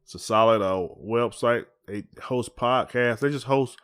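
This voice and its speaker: A man's voice: mid-range voice, unhurried, a bit bright, clean tone. A man co-hosting a casual podcast, talking in a folksy, rambling way and repeating his phrases. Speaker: podcast co-host. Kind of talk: casual conversational podcast chat.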